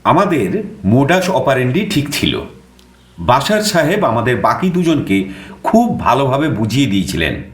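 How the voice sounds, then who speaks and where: A man performs an eager young reporter telling his own story in an audio drama. His delivery swings expressively in pitch with a Kolkata Bengali accent, in a roomy, echoing sound. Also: big pitch swings, moderate pace, close to an everyday voice. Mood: animated storytelling. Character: eager, nervous young male junior reporter. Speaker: narrator and young journalist character. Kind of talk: dramatized first-person story narration.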